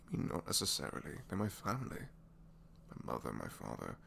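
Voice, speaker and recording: A man's voice: rumbling low, huge pitch swings, quick pace, vocal fry, fairly bright tone, a little hiss or hum, voice pushed down, full character voice. A man playing a reluctant, secretly kind supervillain inventor in an ASMR roleplay, hesitant, wry and self-deprecating, rambling and sometimes dropping toward a whisper. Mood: resigned, unsure. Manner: hesitant, wry, rambling, trailing tag questions, sighs, drops to whispers. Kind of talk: ASMR roleplay audio drama, one-sided conversation.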